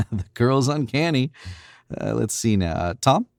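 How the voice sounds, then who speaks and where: A man plays a cool, domineering young killer in an old-time radio drama. The voice sits at a middle pitch and moves animatedly, needling and condescending. The sound is very dry. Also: expressive, a little slow, gravelly, dry recording. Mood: suave, teasing. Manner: condescending, needling, self-assured. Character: cool, domineering young murderer, smug and suave.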